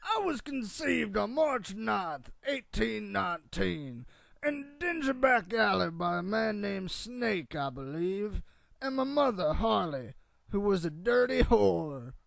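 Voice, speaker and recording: An actor playing the world's oldest man in a comedic radio play, crude, boastful and cantankerous, rambling in a folksy, gravelly voice. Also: mid-range voice, sing-song, slow, a bit bright, no room sound, studio quality, exaggerated voice. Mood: matter-of-fact reminiscing. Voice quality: creaky, gravelly old-timer voice. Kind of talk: comedic radio theatre interview.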